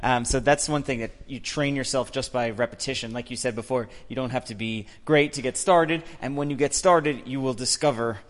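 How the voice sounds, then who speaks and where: A man moderating a conference Q&A in a measured, friendly way. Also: medium pitch, in a large hall. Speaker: event moderator. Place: conference room.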